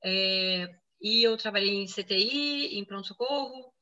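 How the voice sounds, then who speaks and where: Bright chatty woman with a Minas accent. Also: mid-range voice, a little slow, highs cut off. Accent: Brazilian Portuguese, Minas Gerais. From Venezuela, raised in Brazil. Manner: chatty, lively, frequent "né?" tags. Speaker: physician guest. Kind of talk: casual interview answers.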